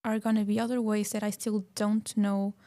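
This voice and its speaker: A woman's voice: mid-range voice, level tone, moderate pace, mellow. A woman guest and university student, answering shyly and briefly in casual chat.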